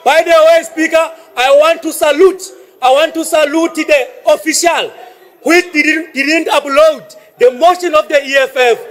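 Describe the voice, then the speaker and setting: A South African man, a councillor giving a heated, combative speech in a council debate, often shouting. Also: very high voice, steady tone, a little slow. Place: council chamber.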